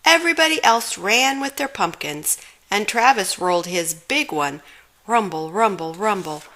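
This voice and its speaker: A woman's voice: medium pitch, lively intonation, moderate pace, sharp tone. A woman reading a children's storybook aloud expressively, giving dramatic emphasis to the sound words. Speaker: storybook reader.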